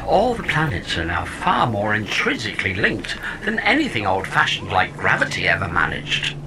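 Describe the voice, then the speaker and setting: Actor doing a snarky know-it-all sidekick in a comedy radio play, super theatrical, pitch all over the place, noisy background. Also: huge pitch swings, moderate pace. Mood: gleefully grandiose. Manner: theatrical, mock-grand, sarcastic. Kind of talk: scripted comedy audio drama.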